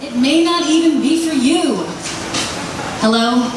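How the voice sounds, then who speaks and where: A distant, echo-heavy sound carries a wry, quick newspaperwoman played by a woman. Her voice is fairly high, styled after an old radio serial. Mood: curious, wry. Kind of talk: live recreation of an old-time radio serial. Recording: very echoey hall, far microphone, loud noise.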